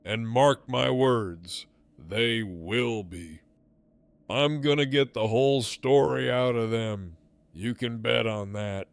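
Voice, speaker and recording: A man acts out an intimidating gang boss for an audio drama. He takes his time over every profane phrase, sardonic and smug, with a gravelly creak under the voice. The sound is intimate and dry. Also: medium pitch, no room sound. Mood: smug, threatening. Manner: slow, drawling, deliberate, profane.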